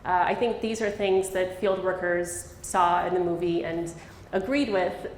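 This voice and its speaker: A woman answers questions at a public talk, explaining her points thoughtfully with a light laugh now and then. Her voice sits a bit low. The sound carries the echo of a big room, with faint ambience behind. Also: a little slow, slight background noise. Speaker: linguist giving a public talk. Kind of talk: conversational public Q&A. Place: lecture hall. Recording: echoey hall with faint ambience.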